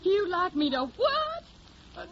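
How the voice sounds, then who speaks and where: A woman's voice: extremely high pitch, very animated, drawn-out words, fairly bright tone, a little hiss or hum, voice pushed up, heavily acted. A woman in an old radio drama playing a young woman who poses as a naive country girl from Tennessee, with a folksy Southern drawl and a feisty, plain-spoken manner. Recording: dull lo-fi old radio recording.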